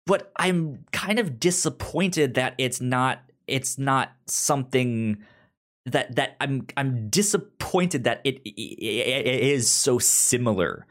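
A man with a mid-range voice speaks as a podcast panelist. He talks casually and critically, with a halting delivery that often stammers over the start of words and repeats them. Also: medium pitch, a bit bright.

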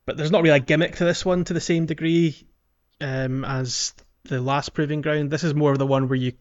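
Guy on a gaming podcast, kinda muffled sound, talking stuff through haltingly, casual. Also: on the high side, fairly even intonation, smooth. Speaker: podcast co-host. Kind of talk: casual game walkthrough chat. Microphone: podcast voice recording.

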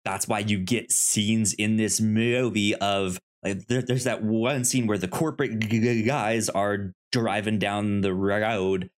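A man with a fairly low voice chats about film as a co-host. He takes his time, stumbling and repeating the beginnings of words as he works through a thought. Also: fairly even intonation. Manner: halting, stammering with repeated word starts. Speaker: podcast co-host. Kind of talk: casual film discussion.

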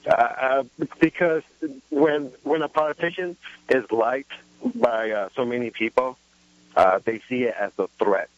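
A man calling in to a talk radio show, speaking in an earnest, halting, repetitive way. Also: mid-range voice, no room sound. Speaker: caller. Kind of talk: call-in phone conversation. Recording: muffled, dry radio call audio.

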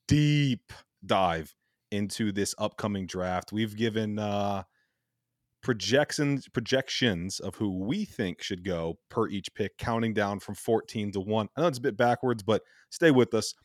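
A man's voice: on the low side, measured pace, a little mellow, no room sound, studio quality. A man in his thirties co-hosting a casual sports podcast, upbeat and joking, swearing now and then. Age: 30s. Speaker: podcast co-host.

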